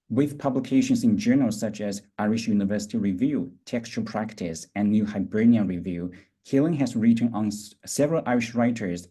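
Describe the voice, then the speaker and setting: A man's voice: fairly low pitch, dark tone, slightly rough. A man moderating an academic roundtable, reading out a formal introduction of a speaker.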